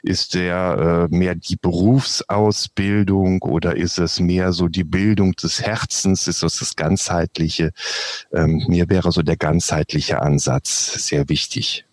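A man's voice: deep voice, little pitch movement, takes it slowly, no echo. A man speaking as a panel guest from his home office, hesitant with many fillers.

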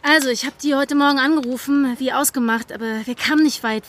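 An actress playing an earnest, agitated young climate activist in naturalistic audio drama dialogue, speaking in a halting, colloquial way. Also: female voice, sits high, a bit bright. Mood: worried, urgent. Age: young adult. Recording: faint background noise.

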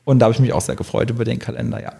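A man hosting a podcast in a chatty, friendly way, slightly hoarse from a cold. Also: medium pitch, flat delivery, racing, warm tone. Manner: chatty, friendly, fillers like "gell" and "ne". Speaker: podcast host. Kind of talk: casual podcast chat.

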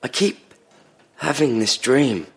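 An actor playing a troubled, polite patient in an audio drama, halting and repeating words as he recalls. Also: medium pitch, creaky, husky, heavily acted. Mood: haunted, hesitant. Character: troubled, polite male patient recounting dreams to analyst.

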